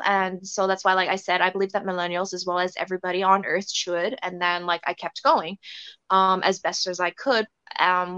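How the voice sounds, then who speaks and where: A woman's voice: medium pitch, sharp tone, clear, resonant tone, no room sound, lo-fi sound. A young woman raised in Canada with Thai as her first language, telling a story as an interview guest in a rambling way, full of "like" and "um" and restarts. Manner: rambling, filler-heavy with many restarts.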